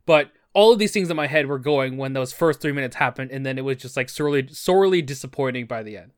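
A man talks quickly and conversationally in a casual podcast chat. His voice is recorded close and dry, with no room sound around it. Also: medium pitch, animated, clear, resonant tone, dry recording.

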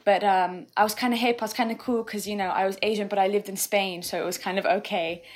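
Woman, young, podcast guest; thoughtful, unhurried, lots of ums and gentle laughs. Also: mid-range voice, fairly even intonation. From England. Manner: thoughtful, frequent fillers and soft laughs. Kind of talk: casual reflective conversation.

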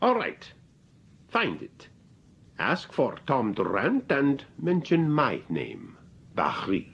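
A man's voice: medium pitch, huge pitch swings, takes it slowly, creaky, fairly bright tone, slightly rough, lo-fi sound, full character voice. An actor playing a stern male accomplice who wants the spectacles, in a radio drama. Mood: curt. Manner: curt, businesslike. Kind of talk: 1940s radio acting.

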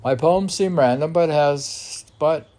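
A man's voice: on the high side, takes it slowly, warm tone. A man reading his own poems aloud as a poet, with declamatory, emphatic delivery.

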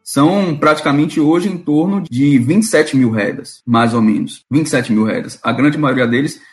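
A man's voice: mid-range voice, moderate pace, soft background music. A man from Salvador, speaking earnestly as a union speaker on a live panel and repeating key figures for emphasis. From Brazil, Salvador.

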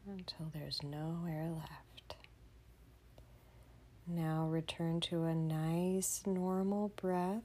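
Soft mellow woman doing a kids sleep meditation, really slow, gentle and half whispering. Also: low-pitched, little pitch movement, slight background noise. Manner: soothing, gentle, often breathy near-whisper. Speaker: children's meditation guide. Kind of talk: guided bedtime meditation for kids.

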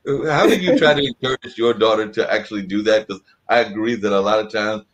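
A man's voice: fairly low pitch, a little fast. A man hosting a conversational interview, asking long, rambling, winding questions. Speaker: interview host.

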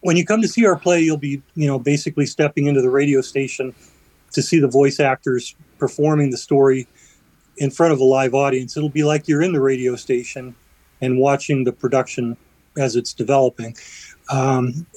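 A man's voice: mid-range voice, fairly quick, a little mellow, no room sound, poor audio. Man, community theater guest, mid-range voice, chatty with fillers, dry close sound. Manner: chatty, explains with fillers and restarts. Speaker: theater company vice president, guest. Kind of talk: radio interview.

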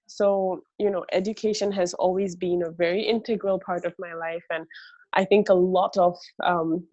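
Young woman guest, chatty and earnest, lower voice, dry and muffled remote recording. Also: a little mellow, no echo. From Singapore. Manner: chatty and earnest, many fillers like "you know". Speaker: guest, university lecturer. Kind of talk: casual interview answers.

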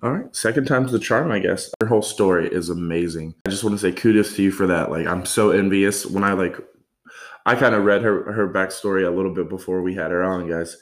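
A man's voice: sits low, fairly quick. A man hosting a podcast, talking casually and filling his speech with likes. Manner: friendly, filler-heavy, says like a lot. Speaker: podcast host.

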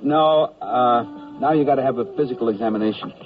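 A man acting a halting, officious Army officer. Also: mid-range voice, lightly acted. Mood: halting, official. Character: awkward, formal Army officer unsure of procedure. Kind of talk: 1970s radio comedy acting. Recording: dull lo-fi 1970s radio broadcast.

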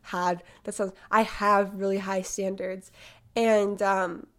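A woman's voice: medium pitch, level tone, takes it slowly, fairly bright tone. A woman aged eighteen hosting a podcast, congested from a cold, talking chattily with lots of fillers. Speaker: podcast host. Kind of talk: casual podcast monologue.

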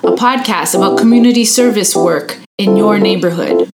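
A woman who works as a youth worker, reading a podcast intro in a clear, steady way. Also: mid-range voice, moderate pace. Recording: loud guitar music behind the voice.

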